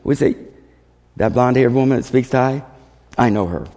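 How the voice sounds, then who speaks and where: A man speaking as a missionary, giving a storytelling testimony to a congregation in an animated way, acting out dialogue. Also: mid-range voice, normal speed, background noise. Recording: reverberant hall.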